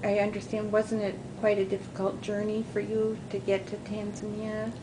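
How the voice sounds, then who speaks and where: A woman conducts an interview, prompting her guest with soft, encouraging questions. Her voice sits at an ordinary pitch for a woman. A constant rumble lies behind her. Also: monotone, normal speed.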